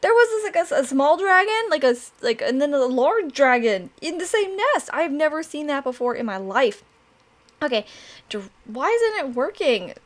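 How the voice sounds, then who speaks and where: A young woman hosting a Minecraft let's play, chatting excitedly to viewers while her voice is raspy from allergies and she keeps clearing her throat. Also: high voice, normal speed. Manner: chatty, excitable, addresses "you guys" often. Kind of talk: casual gameplay commentary.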